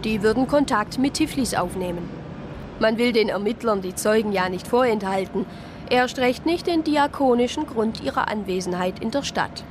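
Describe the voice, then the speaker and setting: An actress playing a composed Protestant deaconess, narrating and speaking in a radio crime drama. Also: female voice, fairly high pitch, normal speed, a little hiss or hum, natural voice. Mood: calm, shaken. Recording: music behind the voice.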